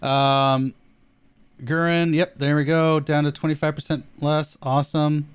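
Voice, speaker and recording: A man with a smooth, resonant voice, recorded close and dry. He co-hosts a casual gaming chat, reading notes aloud and dropping quick easygoing reactions. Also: fairly high pitch, level tone, no echo. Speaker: podcast co-host.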